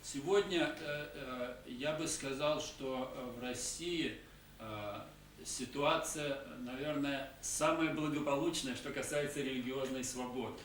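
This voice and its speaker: Brief: male conference speaker, halting and hesitant, heard from a distance in a roomy hall with faint hiss. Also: medium pitch, fairly bright tone. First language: Russian. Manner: halting, many hesitation sounds. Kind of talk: conference talk with pauses for interpreter.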